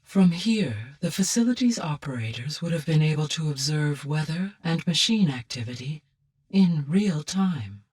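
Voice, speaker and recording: An actress playing a calm, benevolent female artificial intelligence in a video game, speaking in formal, measured, precise phrases. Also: low-pitched, husky, far from the mic. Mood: composed, explanatory. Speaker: game character, advisor. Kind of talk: scripted video game dialogue.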